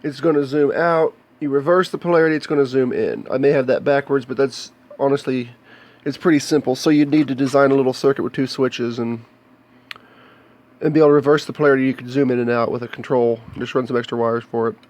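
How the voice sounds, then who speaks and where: An American man talking casually and off the cuff as he shows electronics, explaining in a halting, plain-spoken way. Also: mid-range voice, fairly even intonation, a little mellow. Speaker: hobbyist video maker showing electronics. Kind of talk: casual unscripted show-and-tell. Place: indoors, cluttered home workshop.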